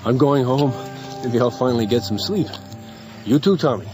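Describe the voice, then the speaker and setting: Troubled father, animated voice played by a man. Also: medium pitch, moderate pace, natural voice. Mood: agitated. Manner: hesitant, brooding. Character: anxious, troubled father of a small boy. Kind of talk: radio drama acting. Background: music.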